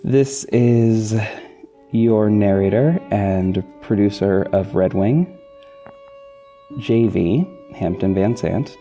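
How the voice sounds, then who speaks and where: Male podcast host, very dark relaxed tone, hesitant informal solo delivery, faint guitar bed underneath. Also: fairly low pitch. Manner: hesitant, halting, self-interrupting. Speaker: podcast host, audio drama narrator and producer. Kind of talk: informal behind-the-scenes solo talk to listeners. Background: plucked guitar music.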